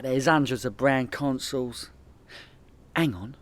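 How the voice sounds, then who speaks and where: An actor playing a hopeless, boastful petty crook with a London accent in a comedy radio play. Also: male voice, mid-range voice, slow, natural voice. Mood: worried.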